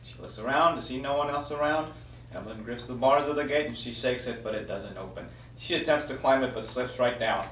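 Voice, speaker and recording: A man's voice: medium pitch, fairly quick, fairly bright tone, slight background noise. Man reading stage directions, echoey room. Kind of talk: scripted narration at a table read. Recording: roomy echo, distant microphone.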